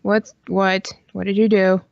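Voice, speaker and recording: A woman's voice: medium pitch, flat delivery, measured pace, gravelly, no room sound, lo-fi sound. A woman co-hosting a casual live stream, bantering in a crude, teasing, repetitive way and swearing freely. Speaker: podcast co-host on a live stream.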